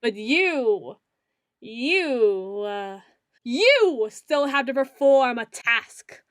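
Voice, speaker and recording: A woman playing a melodramatic self-styled female dark lord in an ASMR roleplay, pompous and theatrical, grandiose yet a little awkward. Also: on the high side, sing-song, very slow, dry recording, clean studio sound, exaggerated voice. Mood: awkward, earnest. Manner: pompous, theatrical, mock-archaic phrasing with awkward hedging.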